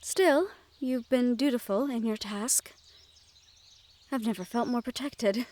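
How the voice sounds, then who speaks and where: A woman playing a sheltered young noblewoman in a fantasy audio roleplay, gentle, wistful and quietly willful, with formal phrasing. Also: fairly high pitch, takes it slowly. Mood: playful, fond. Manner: formal speech with few contractions. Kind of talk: fantasy audio roleplay acting, addressed to the listener.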